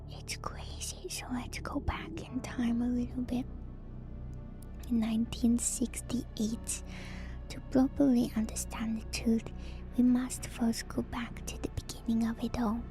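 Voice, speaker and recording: A woman streamer speaking in a soft, breathy ASMR whisper, gentle and musing as she reads lore and comments casually, softly repeating phrases. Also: a little high. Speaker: streamer and host.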